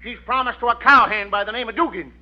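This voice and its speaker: A blustering cowboy father from a 1940s radio comedy. His voice sits high and he threatens in folksy range slang. The old recording is dulled. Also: fairly even intonation, fast, dull audio. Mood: blustering, accusing.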